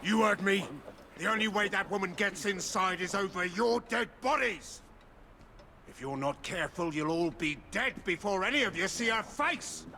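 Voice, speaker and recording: An English man playing a suave, flirtatious gentleman henchman in a video game, with a florid, theatrical drawl. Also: high-pitched, lively intonation, moderate pace, vocal fry, a little hiss or hum, exaggerated voice. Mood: threatening. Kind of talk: video game cutscene acting.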